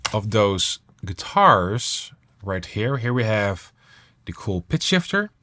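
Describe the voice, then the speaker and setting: A man presenting a software tutorial on music production in a casual, enthusiastic and emphatic way. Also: on the low side.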